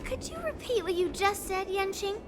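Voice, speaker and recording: A high, girlish voice for a brash young fantasy warrior. She is teasing, boastful and blunt, with music behind her. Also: animated, normal speed.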